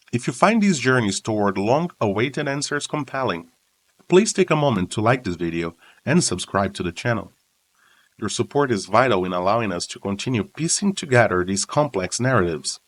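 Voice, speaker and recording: A man reading scripted true crime documentary narration in a solemn, suspenseful manner with deliberate dramatic pauses. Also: mid-range voice, dry recording. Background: background music.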